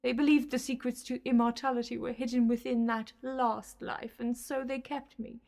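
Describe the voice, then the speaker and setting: High-voiced, imperious villainess, played by a woman. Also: little pitch movement, moderate pace, creaky, darkish tone. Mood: bitter, haunted. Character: desperate, menacing female Time Lord villain, scheming and bitter. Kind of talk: sci-fi audio drama acting.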